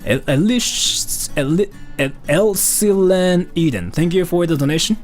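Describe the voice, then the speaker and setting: A man's voice: sits high, expressive, unhurried, faint music behind. A man from Japan streaming a live Q&A, rambling with lots of "like" fillers while thanking donors. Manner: rambling, heavy use of "like" fillers. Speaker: live streamer reading donations.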